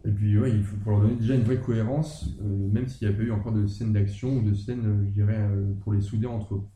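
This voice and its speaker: A man's voice: on the low side, fairly even intonation, quick pace, dark tone, roomy sound. A man co-hosting a podcast, talking casually and hesitantly, with many fillers and restarts. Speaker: podcast co-host discussing a roleplaying campaign.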